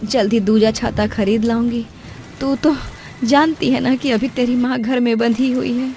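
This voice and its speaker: A woman voicing a poor, worried widowed mother in a story, gentle and coaxing. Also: fairly high pitch, steady tone, normal speed, a little hiss or hum, lightly acted.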